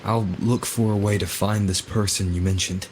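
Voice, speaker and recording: An actor playing a calm, reserved young male hero in a video game, with a terse, serious, understated delivery. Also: fairly low pitch, moderate pace, slight background noise, lightly acted.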